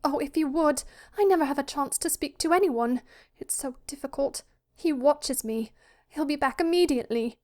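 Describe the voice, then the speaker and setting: A high, breathy young woman's voice put on by a woman narrator for a timid, frightened daughter in a literary story. She speaks in anxious, hurried pleas, as if afraid of being overheard. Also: a little mellow, lightly acted. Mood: anxious, pleading. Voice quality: breathy, gasping.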